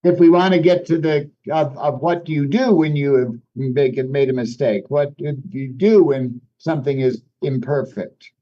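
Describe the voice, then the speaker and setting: A man's voice: on the high side, moderate pace, few pauses. A man whose first language is French, hosting a reflective conversation and explaining ideas thoughtfully, with restarts as he searches for words. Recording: dull lo-fi sound.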